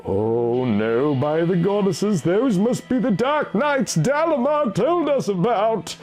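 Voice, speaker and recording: A man's voice: high-pitched, sing-song, takes it slowly, darkish tone, soft background music, voice pushed up, exaggerated voice. A man voicing a frightened woman adventurer in a fantasy story, with a dramatic, fearful delivery. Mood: dread.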